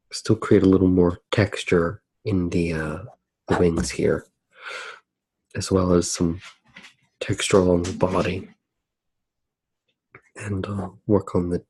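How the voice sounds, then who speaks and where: Slow, low, soft-spoken ASMR man. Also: breathy edge, poor audio. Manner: soft-spoken, hushed, musing aloud. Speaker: ASMR artist narrating own drawing. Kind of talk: ASMR, unscripted demonstration.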